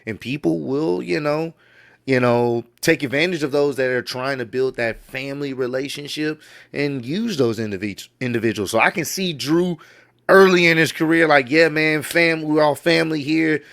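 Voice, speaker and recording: A man's voice: on the high side, normal speed, gravelly, a bit bright. A man giving casual reaction commentary on wrestling news, laid-back and admiring, often repeating himself.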